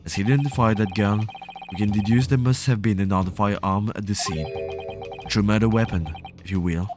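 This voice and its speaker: A man streaming a game, reading the dialogue aloud at a deliberate pace, then chatting playfully to his viewers. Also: lowish voice. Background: game music.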